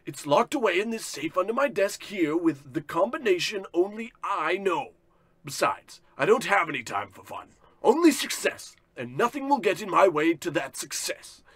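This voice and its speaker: A man's voice: sits high, big pitch swings, moderate pace, a bit bright, husky, no room sound, full character voice. Man playing a pompous rich boss, swooping delivery. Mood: smug, bossy. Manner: pompous, bombastic, scornful. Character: pompous, greedy, cruel, rich male boss. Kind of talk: parody of old-time radio drama.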